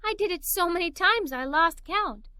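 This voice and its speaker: A woman narrating a children's story in an expressive, animated read-aloud style. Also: very high voice, moderate pace, no echo, clean studio sound. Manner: expressive, performs the characters' dialogue.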